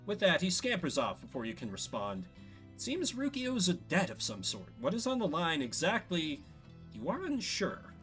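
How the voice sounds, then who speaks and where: A man's voice: a little high, huge pitch swings, normal speed, fairly bright tone, soft background music. A man reading scripted game narration in a storybook-like style.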